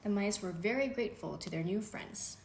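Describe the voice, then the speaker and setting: A woman reading a children's bedtime story aloud, gently and expressively. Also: mid-range voice, fairly quick, gravelly. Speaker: storybook reader. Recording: echoey room with faint background noise.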